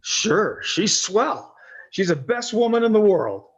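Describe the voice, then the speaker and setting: A man's voice: high-pitched, sing-song, slow, husky, muffled recording, exaggerated voice. A man playing a nervous, eager young husband and mama's boy in an old-time radio drama, hesitant and boyish. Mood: nervous, reassuring.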